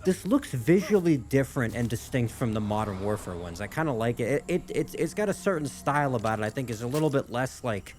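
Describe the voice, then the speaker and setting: A man's voice: mid-range voice, expressive, fairly quick, hardly any pauses, a little mellow. A man hosting a gaming reaction video, talking casually and thinking aloud in a tentative way.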